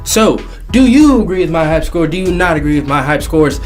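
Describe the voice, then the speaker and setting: A man's voice: on the high side, expressive, a little fast. A man hosting a casual, jokey video countdown, speaking emphatically and repeating phrases for effect. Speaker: host of a video game movie countdown show. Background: faint background music.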